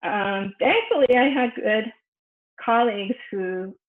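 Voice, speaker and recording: A woman researcher whose first language is Spanish, giving a personal academic presentation with many pauses and fillers. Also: on the high side. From United States. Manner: thoughtful, frequent fillers and restarts. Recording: echoey room over a phone-like line.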